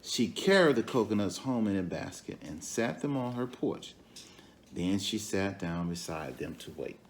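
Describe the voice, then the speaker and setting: A man reading a children's story aloud in an expressive way, with asides to his listeners. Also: lowish voice, slight background noise. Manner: expressive, pauses to question and comment to the young audience. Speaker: storybook reader.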